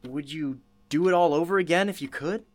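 A sympathetic radio interviewer played by a woman speaks in a low female voice. She is effusive and earnest, in the manner of an audio drama. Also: fairly quick, no room sound. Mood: amazed. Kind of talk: radio play acting.

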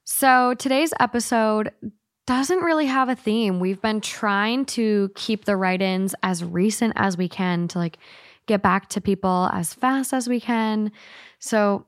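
A woman's voice: medium pitch, no echo, studio quality. A woman co-hosting a casual podcast and reading out listener letters in an upbeat, matter-of-fact way. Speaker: podcast co-host.

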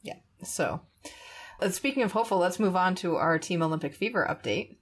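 A woman's voice: fairly low pitch, expressive, brisk, heavy background noise. A woman hosting a podcast in a casual, conversational style, chuckling often as she talks. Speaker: podcast host.

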